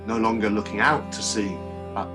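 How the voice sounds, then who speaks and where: Male narrator for poetic audio theatre, lower register, prominent music bed. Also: fairly even intonation, normal speed.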